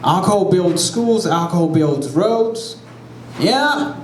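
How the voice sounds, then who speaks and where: A man's voice: high-pitched, expressive, slow, a little hiss or hum. Ugandan man doing stand-up in an echoey room. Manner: playful, crowd work, acts out voices in his stories. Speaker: stand-up comedian. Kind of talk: stand-up comedy set. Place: brewery comedy show before a live audience. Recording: echoey brewery room with crowd ambience.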